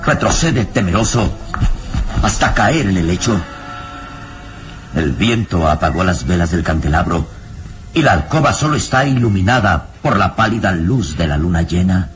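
A man narrating a radio melodrama in suspenseful, measured phrases with dramatic emphasis. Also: low voice, expressive. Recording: radio drama with music underneath.

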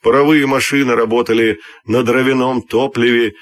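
A man narrating a scripted fairy tale for children's radio, telling it expressively and deliberately. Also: mid-range voice, steady tone, no room sound. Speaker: storyteller.

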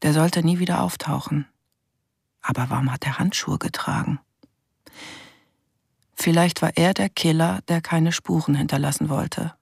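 An actress playing a sober, terse police detective who narrates reflectively in clipped phrases in a radio crime drama. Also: female voice, low-pitched, normal speed, creaky, pauses often, darkish tone, breathy edge, no echo, clean studio sound, exaggerated voice. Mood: grim, speculating.

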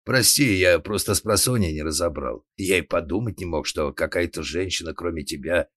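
A man's voice: low voice, moderate pace, a bit bright, dry recording. A doting, lecherous policeman of a comic audiobook, as a male narrator acts him, cooing and wheedling like a henpecked husband. The recording is dry and close. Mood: sheepish wheedling.

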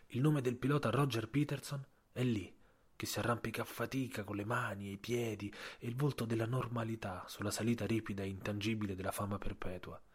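A man narrating a scripted audio story with a dramatic, literary delivery and a husky voice. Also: fairly low pitch. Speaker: writer and narrator.

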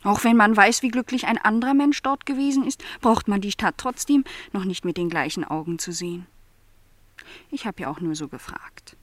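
An actress playing a lonely, discontented young housemaid in a literary radio play, plaintive and questioning in formal wording. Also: female voice, medium pitch, moderate pace, no room sound, lightly acted. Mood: hesitant, curious.

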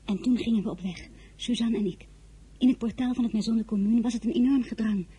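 A woman's voice: on the high side, fast, dark tone, slightly rough. An actress playing a headstrong, easily indignant teenage girl who confides her story like a diary in a radio drama. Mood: narrating. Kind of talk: radio drama narration and dialogue.

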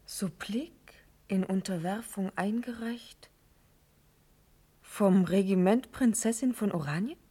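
A proud young princess in a classical verse radio play. She speaks very slowly in solemn, declamatory phrases, with a passionate, eloquent delivery at a middling pitch. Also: dark tone, husky. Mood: grave, resolved. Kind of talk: classical German verse radio drama acting.

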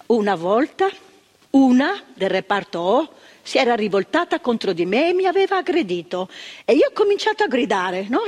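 A husky former asylum nurse. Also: female voice, on the high side. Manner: plainspoken, repeats phrases for emphasis. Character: former woman asylum nurse, ashamed, blunt, recounting. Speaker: stage actress in a monologue. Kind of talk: theatrical monologue of remembered testimony. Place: theatre stage. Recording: long stage reverb, radio broadcast.

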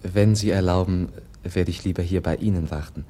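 A candid young prince in a 1950s German radio play. His voice sits fairly low. He speaks with gentle courtesy and guileless earnestness. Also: fairly even intonation, a little fast. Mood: calm, candid.

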